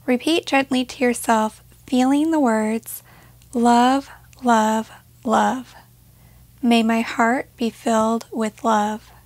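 Woman, meditation guide, fairly high voice, gentle measured phrasing, dry close sound. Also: fairly even intonation, no room sound. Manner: gentle, measured, with pauses between short phrases.

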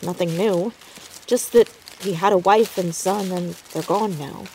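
Mellow, earnest teenage girl sleuth played by a woman. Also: fairly low pitch, a little slow, a little hiss or hum, lightly acted, poor audio. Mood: earnest, analytical. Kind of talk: naturalistic audio drama dialogue.

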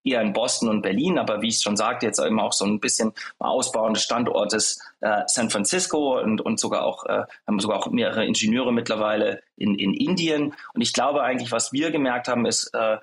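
A man's voice: fairly low pitch, a little fast, hardly any pauses. A man being interviewed about his company, speaking with many hesitations and fillers. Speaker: startup founder guest.

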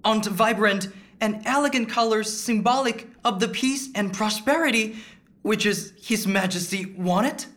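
A woman playing a passionate, devoted young male painter in a radio drama, earnest and halting. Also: fairly high pitch, fairly bright tone.

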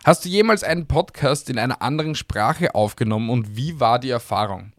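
A man's voice: mid-range voice, expressive, a little fast, no echo. A man in a casual podcast chat who reads out the questions and answers with dry, easygoing humor. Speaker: podcast co-host reading questions.

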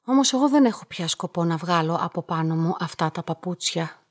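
An actress playing a solitary young woman in a radio play, polite, measured and introspective, quietly devoted and resolute. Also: lowish voice, lively intonation, no room sound, clean studio sound. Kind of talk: radio drama acting and first-person narration.

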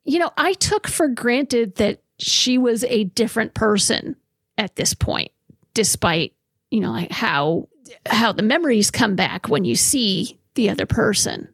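A woman from Massachusetts with a husky, creaky voice, co-hosting a casual film discussion podcast. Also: fairly high pitch, big pitch swings, measured pace, no room sound. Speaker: podcast co-host.

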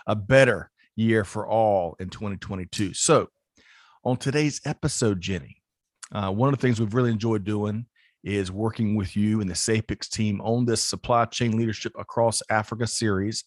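A man's voice: on the low side, fairly even intonation, normal speed, dry recording, clean studio sound. A man hosting a podcast interview, chatty and upbeat, often restarting his sentences. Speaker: podcast host. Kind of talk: casual interview chat.

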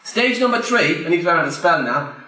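A man's voice: on the high side, animated, a little fast, bright, forceful tone, slight background noise. A man with an Irish accent coaching a live audience on property deals, emphatic and repeating his key phrases as he speaks straight to the crowd. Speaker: property investment trainer. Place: event room before a live audience. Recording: very echoey room.

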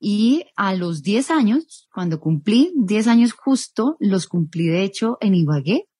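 A woman's voice: medium pitch, very animated, a little slow, dark tone, breathy edge, no room sound. A Colombian woman in her thirties, a journalist guest in an interview, speaking thoughtfully and rephrasing as she goes. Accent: Colombian Spanish. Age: 30s. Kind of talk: interview conversation.

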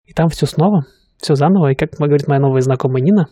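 A man's voice: on the high side, fast, dark tone, slightly rough, no echo, studio quality. A man co-hosting a casual podcast, talking reflectively and with enthusiasm, thinking aloud with fillers. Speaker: podcast co-host, student pilot.

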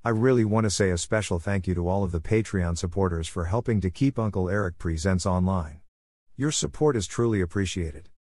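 A man's voice: low voice, fairly quick, a little mellow, no echo, studio quality. A man reading a scripted podcast introduction and sponsor message as host.

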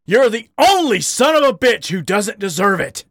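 A raw, bitter male badger pours out his heartache, his voice climbing high and swinging wide between pleading and ragged outbursts, as a male reader puts him on. The tone carries a gravelly grit. It sounds dry and close, with no room around it. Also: sing-song, moderate pace, fairly bright tone, no echo, exaggerated voice. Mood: anguished.

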